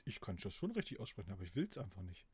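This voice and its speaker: A middle-aged man from East Germany co-hosting a podcast, reading aloud in a dry, deadpan way and chatting casually. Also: low voice, very fast, slightly rough, no echo. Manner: dry deadpan delivery, laughing at his own reading. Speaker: podcast co-host.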